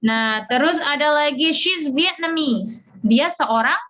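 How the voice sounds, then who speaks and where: A woman's voice: high-pitched, animated, slow, a bit bright. A woman with an Indonesian accent teaching English grammar informally, explaining and repeating example sentences. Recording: dull audio with clear background noise.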